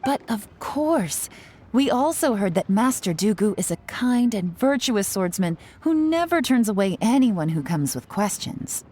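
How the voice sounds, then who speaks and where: An actress playing a poised, shrewd young woman investigator in a video game, measured and slightly theatrical, with courteous phrasing. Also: medium pitch, very animated, warm tone. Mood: mock-formal, courteous. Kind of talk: scripted video game voice acting.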